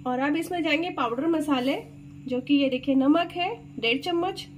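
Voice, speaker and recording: A woman with a high voice walks her viewers through a recipe step by step in a chatty way. She is recorded on a phone over the stove in a home kitchen. A noisy recording leaves kitchen sounds behind her. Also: moderate pace. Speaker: cooking channel host. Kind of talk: step-by-step cooking demonstration.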